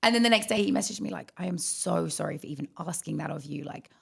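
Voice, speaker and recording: A young Australian woman co-hosting a casual podcast, with a croaky voice and lively storytelling. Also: deep voice, fast talker. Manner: chatty storytelling, animated quoting. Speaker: podcast co-host. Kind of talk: casual chat.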